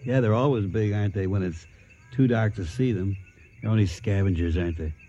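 A man acting as a skeptical American safari tourist in a radio play. His voice is low and very mellow, wry and halting, with faint insect noise behind it. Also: highs cut off, close to an everyday voice. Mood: uneasy, wry.

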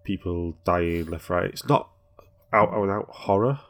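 A man co-hosting a podcast, telling rambling, relaxed stories in casual chat. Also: deep voice, a little slow. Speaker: podcast co-host.

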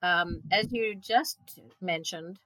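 A woman's voice: fairly low pitch, slow pace, a bit bright, dry recording, poor audio. A woman in her seventies from South Africa, a guest answering interview questions earnestly, with frequent ums and restarts. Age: 70s. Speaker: guest, event organizer.

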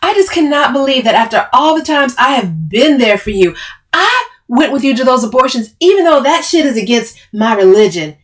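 An overbearing, bossy woman in a dramatic audiobook, acted out by a female narrator. Her voice sits high and she pushes every phrase hard, confrontational and emphatic. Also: lively intonation, takes it slowly. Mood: indignant. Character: Camille, overbearing, furious, taunting woman, bossy cousin.

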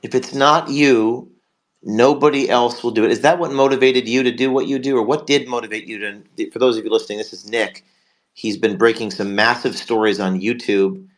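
Hoarse male live-show host, middle pitch, rambling and promotional. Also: fairly even intonation, moderate pace, low-quality recording. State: hoarse from a cold. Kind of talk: live online talk show.

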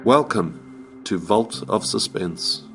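A man reading a scripted podcast introduction as host, in a measured announcer manner. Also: on the low side, creaky. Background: music.

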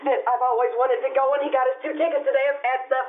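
A woman's voice: sits high, flat delivery, rapid-fire, lightly acted. A woman playing a gushing, talkative shop worker in an old-time radio show. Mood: excited. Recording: muffled radio-style stage recording, noisy.

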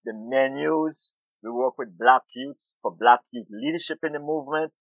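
A man's voice: mid-range voice, normal speed, dry recording. A middle-aged man from Guyana, speaking as an interview guest about farming, thoughtful and often repeating words as he searches for them. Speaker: urban farmer and food activist. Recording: tinny phone-like line.

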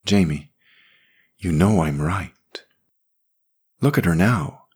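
A calm, firm grown woman speaks in a patient, gently insistent way, read in a man's own voice for an audiobook. The voice is very deep and husky. It moves slowly and evenly, with a lively rise and fall. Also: exaggerated voice. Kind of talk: audiobook character voice.

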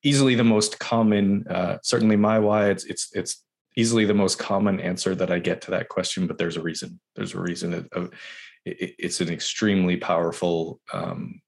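A man with a very deep voice hosts a casual interview. He speaks haltingly and often repeats his words as he works toward a question. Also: steady tone, vocal fry, darkish tone. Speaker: podcast host.

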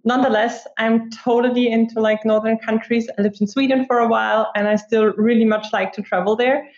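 A woman's voice: fairly high pitch, little pitch movement, a little fast, a little mellow. A woman in her twenties with an Austrian accent, chatting informally as an interview guest and peppering her answers with filler words like "like". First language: German. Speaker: podcast guest, app marketer. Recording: muffled, roomy remote recording.

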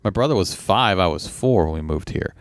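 Man, very low voice, born in iran, farsi first language, measured, dry sound. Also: expressive, no room sound. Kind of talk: casual chat.

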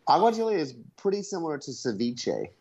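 A man's voice: on the high side, very animated, a little fast, warm tone, breathy edge, dull audio. A man on a remote call as a podcast guest who blogs about food, chatting casually and laughing at himself. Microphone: Skype call.

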